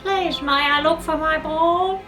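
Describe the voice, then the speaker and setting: Woman playing a high-voiced, polite little girl. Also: fairly even intonation, a little slow, fairly bright tone, far from the mic, soft background music. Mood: polite, hopeful. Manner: polite, eager. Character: polite, plucky little girl asking for her ball.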